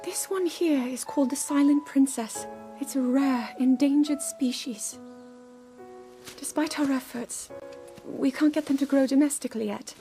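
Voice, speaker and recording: High-voiced, earnest young princess. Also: female voice, a little slow, faint music behind. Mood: fond, gentle. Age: young adult. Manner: precise, formal, expressive diction. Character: earnest, scholarly young princess, anxious yet eager. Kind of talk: video game cutscene voice acting.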